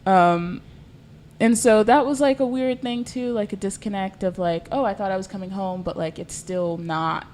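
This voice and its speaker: A young woman from South Sudan talks as a podcast guest, loose and chatty, her sentences strung together with plenty of "like" and "um". Her pitch sits in an ordinary middle range, and faint noise hangs behind her. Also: moderate pace, darkish tone. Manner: chatty, full of fillers like "like" and "um". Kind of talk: casual chat.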